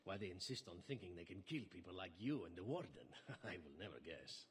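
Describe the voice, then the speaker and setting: An actor playing a flirtatious, unflappable elf assassin in a video game, teasing and theatrical. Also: male voice, deep voice, sing-song, normal speed. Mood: amused, mocking. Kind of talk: video game character acting.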